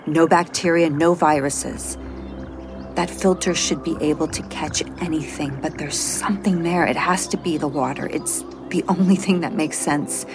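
A woman's voice: deep voice, steady tone, normal speed. An actress playing an anxious, flustered botanist on a space mission, hesitant and self-doubting as she gives a report in an audio drama. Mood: worried, explaining. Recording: moody music score underneath.

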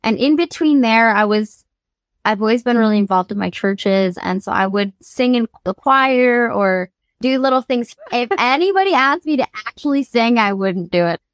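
A woman's voice: fairly high pitch, animated, moderate pace, dry recording. Earnest young woman telling her story. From United States. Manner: earnest, laughs easily while talking. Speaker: singer-songwriter guest. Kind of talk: candid personal storytelling.

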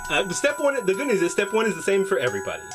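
Lively man running a tabletop game. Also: high-pitched, very fast, loud music behind the voice. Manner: lively, laughs easily, many fillers. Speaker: game master.

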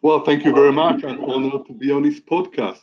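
Middle-aged man, polite and measured, mid-pitched, slightly muffled audio. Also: fairly even intonation. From Israel. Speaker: commercial real estate broker, guest. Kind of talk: telling his life story in an interview. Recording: slightly dull, lo-fi sound.

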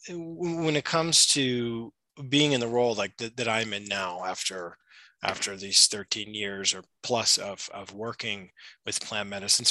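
Bright-voiced man, dry close sound. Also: mid-range voice, no room sound, poor audio. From United States. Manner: thoughtful, many fillers and restarts. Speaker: shamanic practitioner and retreat facilitator. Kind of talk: interview answers, explanatory. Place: Peru.

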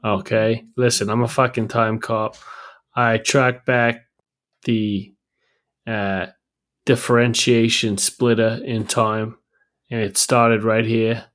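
A brash lieutenant from the future police with a thick Boston accent, as a man puts him on, cursing in every breath. His voice sits in an ordinary middle pitch, blunt and gruff. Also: flat delivery, slow pace, a bit bright. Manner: swears constantly, blunt and gruff. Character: foul-mouthed Boston time cop lieutenant, brash, man. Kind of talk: improv comedy.

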